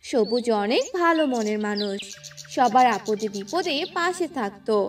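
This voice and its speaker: High-voiced woman storyteller. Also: expressive, a little slow, recorded from a distance, soft background music. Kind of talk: scripted cartoon fairy-tale narration.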